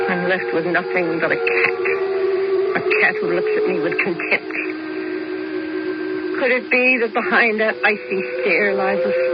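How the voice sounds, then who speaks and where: An actress playing a lonely, manipulative woman plotting with a hired killer in a 1970s radio drama, smooth and coaxing. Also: medium pitch, close to an everyday voice. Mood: despairing. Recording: hissy lo-fi old radio recording.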